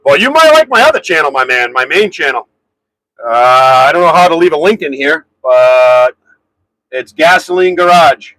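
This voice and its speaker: A man hosting a casual live stream from his garage, rambling and answering viewers' comments in a very loud voice. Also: on the high side, very animated, normal speed, dry recording, rough recording. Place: home garage.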